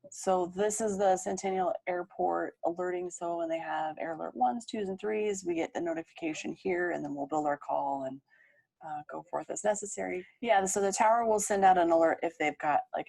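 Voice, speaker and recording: A woman's voice: on the low side, creaky, a little mellow, rough recording. A woman dispatcher explaining the job and workstation in a conversational, matter-of-fact way. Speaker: emergency dispatcher.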